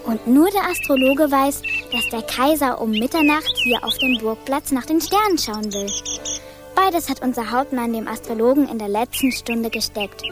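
A woman as a high-voiced, plotting child. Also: moderate pace, lightly acted. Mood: conspiratorial. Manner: conspiratorial, explaining. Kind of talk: German radio play acting. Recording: loud music behind.

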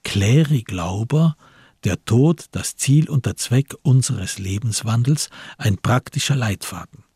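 A man narrates a literary radio drama slowly and deliberately. His voice is low and very husky with gravel in it, captured dry and close in a studio. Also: lively intonation, mellow, no room sound.

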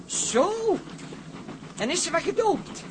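A woman's voice: very high-pitched, sing-song, slow pace, exaggerated voice. An actress playing a bossy but generous, pious country woman, folksy and scolding, in a period drama. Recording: noisy drama soundtrack with loud ambience.

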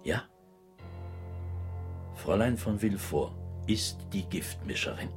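A low-voiced, grave doctor in a radio drama, stern and insistent. His delivery is accusatory and emphatic, swinging widely in pitch over faint music. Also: expressive, slow pace. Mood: stern, accusing. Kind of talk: German radio drama acting.

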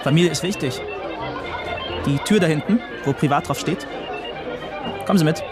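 High-voiced gruff dance hall man, acted out. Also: normal speed, close to an everyday voice. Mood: brusque. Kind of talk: radio drama dialogue. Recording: noisy dance hall crowd and music.

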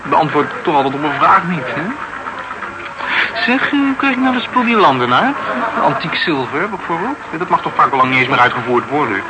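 An actor with a Netherlands Dutch accent playing a cheeky, teasing bar regular in a radio play, talking in a colloquial, needling, questioning way. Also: male voice, fairly high pitch, very animated, a little fast, heavy background noise. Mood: challenging. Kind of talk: naturalistic radio play dialogue. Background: loud music.

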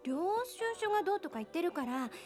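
A flighty, nosy young woman with a very high, girlish voice. Her chatty radio drama delivery swings up and down in pitch, coaxing and gossipy, with music faintly behind her. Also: sing-song, fast, darkish tone. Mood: excited gossip. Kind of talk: radio drama acting, casual conversational Japanese.

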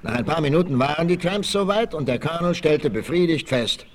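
A man's voice: fairly high pitch, lively intonation, fairly quick, lightly acted. A man narrating a 1960s German radio play in dramatic scripted storytelling. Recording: 1968 vinyl record, faint noise.

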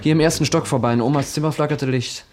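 An actor in a radio crime drama playing a cheeky, defensive young student who has run away from home. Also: male voice, on the high side, fairly even intonation, fast talker, a little mellow, close to an everyday voice. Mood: agitated, puzzled. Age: young adult. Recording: noisy old radio play recording.

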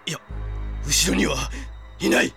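A man's voice: on the high side, a little slow, vocal fry, music in the background. An actor playing a brash, impassioned French swordsman bent on avenging his sister in a Japanese audio drama. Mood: uneasy.

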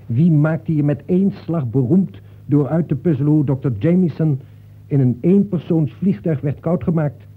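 An actor in a Dutch radio play, playing a talkative, breezy detective from a novel who nags in a familiar way. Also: male voice, on the high side, normal speed, husky. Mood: breezy, reproachful. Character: talkative fictional detective come to life, chummy, reproachful. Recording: muffled phone-like old radio recording.

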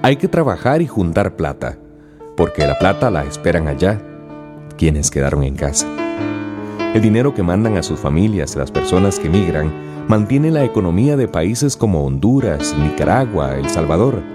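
Deep, expressive male narrator over guitar. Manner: measured, rhetorical. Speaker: radio drama narrator. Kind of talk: scripted narration. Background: plucked guitar music.

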